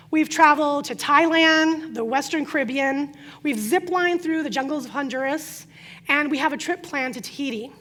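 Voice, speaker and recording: A middle-aged woman with a high voice, whose first language is Croatian, gives an earnest prepared talk about her life. She tells her story with emphatic pauses. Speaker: speaker.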